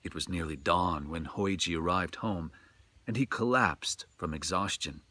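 A man's voice: low-pitched, measured pace, sharp tone. A middle-aged man reading a ghost story aloud in a dramatic, scripted storytelling style. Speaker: storyteller, narrator. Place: outdoors in a forest.

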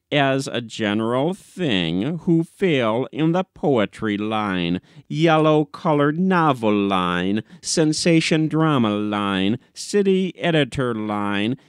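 A man's voice: mid-range voice, clear, resonant tone, no room sound, clean studio sound. A man reading an audiobook, playing a brash, self-righteous newspaperman who rants in a pompous, declamatory way. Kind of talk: dramatic audiobook reading of classic comic short story.

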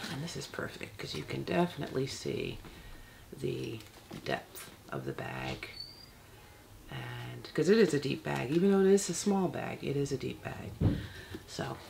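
A soft-spoken woman in her fifties, casually showing a handbag in her bedroom with halting speech and many fillers. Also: rumbling low, gravelly, background noise. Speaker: handbag vlogger. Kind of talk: casual product demo. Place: bedroom, seated on a bed. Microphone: external camera mic.

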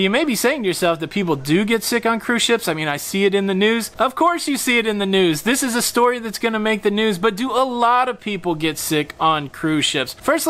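High-voiced man arguing on a vlog. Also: a bit bright, a little hiss or hum. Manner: argumentative, rhetorical questions, punchy emphasis. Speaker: host of a cruise travel channel. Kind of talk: casual opinion vlog. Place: screened pool patio outdoors.

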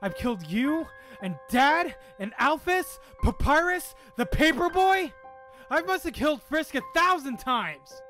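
A man's voice: very high-pitched, sing-song, a little slow, sharp tone, soft background music, exaggerated voice. A man voicing a guilt-ridden young goat monster son in a comic dub, impassioned and confessional. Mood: anguished outburst. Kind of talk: amateur comic dub acting.

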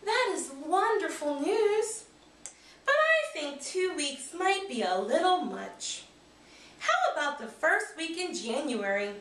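A woman's voice: very high voice, very animated, slow, fairly bright tone, pitched above the performer's own voice, exaggerated voice. A woman voicing a kindly, upbeat female schoolteacher with an encouraging manner in a children's storybook. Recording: roomy, distant camera microphone.

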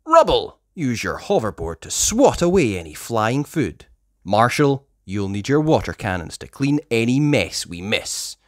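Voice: medium pitch, sing-song, a little slow, no echo, studio quality. A storybook reader voicing a confident, encouraging boy who leads rescue pups. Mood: commanding. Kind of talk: children's storybook character voice.

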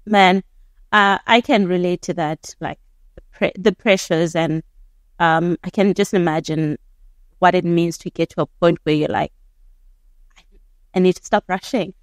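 Low-voiced woman hosting a podcast. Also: steady tone, unhurried, pauses often, no room sound. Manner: encouraging, reflective questioner with backchannels. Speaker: podcast host. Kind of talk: casual podcast interview. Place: small indoor living room. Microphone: studio mic on a desk stand.

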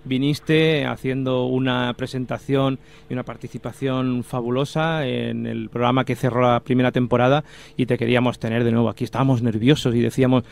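A man's voice: mid-range voice, little pitch movement, fairly quick, gravelly, a little mellow. A man with a Spanish accent hosting a live interview as a radio presenter in a studio. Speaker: radio show host. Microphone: studio microphone with headphones.

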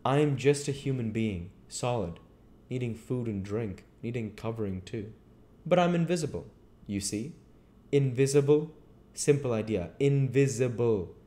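Guy doing an impatient invisible man from an old book, pitched up, bossy, really hammering his point. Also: measured pace, warm tone, lightly acted. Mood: impatient explaining. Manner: emphatic, insistent explaining. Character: impatient, insistent invisible man, commanding and exasperated.

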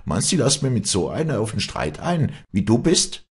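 A man's voice: low voice, expressive, a little fast, slightly rough, voice pushed up, heavily acted. A man voicing a proud, hot-tempered, jealous young peasant woman in Alpine Bavarian dialect, scornful and hectoring, in a storybook reading. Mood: haughty.

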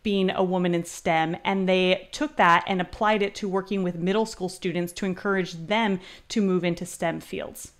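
A young woman, an admissions advisor, reading a sample essay aloud and explaining it in a clear, upbeat way. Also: on the low side, steady tone, moderate pace, few pauses. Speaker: grad school admissions advisor. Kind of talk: reading and critiquing an essay on screen.

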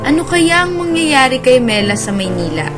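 Auntie storyteller played by a woman, higher-pitched, dramatic serial narration, prominent music bed. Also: sing-song, moderate pace. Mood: dramatic storytelling. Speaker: radio drama narrator-host. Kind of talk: scripted radio serial narration.

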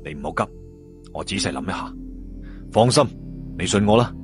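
Steadfast young male hero, acted by a male storyteller, fantasy audio tale, Hong Kong Cantonese, lower register, music bed. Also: animated, moderate pace. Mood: calm, reassuring. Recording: music behind the voice.

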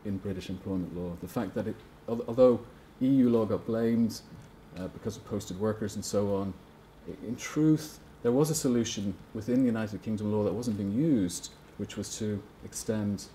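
A man speaks at a seminar panel table in a mellow, fairly low voice. His talk is careful and academic, halting often to restart a phrase. A faint room hum sits underneath. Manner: hesitant, many restarts, measured.

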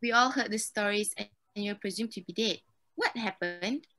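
A woman's voice: medium pitch, moderate pace, bright, forceful tone, soft background music, natural voice. A teenage girl playing a serious, formal TV interviewer in a scripted drama. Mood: earnest. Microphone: video call.

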